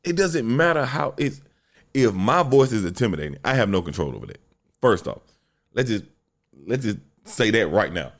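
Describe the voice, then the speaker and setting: Deep-voiced man ranting on a podcast. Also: moderate pace. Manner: blunt, profane, animated storytelling. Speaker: podcast host. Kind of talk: solo podcast rant.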